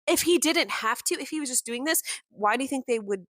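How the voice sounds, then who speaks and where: A young woman hosting her own podcast, with a warm voice that is deeper than most women's, talking candidly and with passion, full of "like" fillers and the odd swear word. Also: lively intonation, fairly quick, no room sound, clean studio sound. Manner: candid and impassioned, with frequent "like" fillers and mild swearing. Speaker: podcast host. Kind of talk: casual personal monologue.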